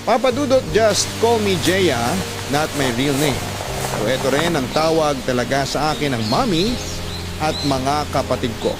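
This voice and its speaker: Male actor middle-aged letter narrator, scripted first-person storytelling, reflective, slightly high pitch, clear music bed. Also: lively intonation, moderate pace, natural voice.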